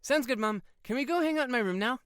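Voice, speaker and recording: A woman playing an excitable fifteen-year-old boy comic geek in an audio drama, eager to explain and easily annoyed. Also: on the high side, fast, no room sound, clean studio sound, natural voice. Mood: upbeat. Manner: eager explaining, whiny when teased.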